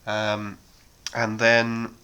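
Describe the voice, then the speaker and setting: A man in his thirties hosting a miniature painting chat, talking casually with many ums and a slightly hoarse voice from a sore throat. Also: on the low side, extremely slow. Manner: relaxed, rambling, many ums. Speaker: hobby painting channel host.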